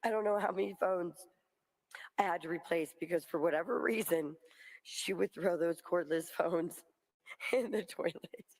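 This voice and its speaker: A woman's voice: on the low side, moderate pace, rough recording. A woman speaking at a memorial, telling a family story and laughing fondly as she goes.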